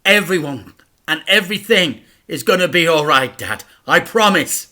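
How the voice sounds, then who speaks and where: A man voicing a desperate young boy who cries out for his father in high, loud calls. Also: lively intonation, normal speed. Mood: pleading.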